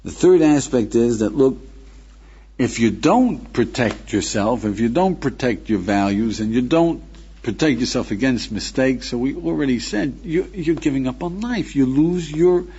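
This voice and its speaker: A man's voice: mid-range voice, animated, measured pace, dark tone, slightly rough, dull audio. A man teaching as a rabbi, lecturing emphatically with rhetorical questions and calling his listener "friend". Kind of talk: recorded lecture.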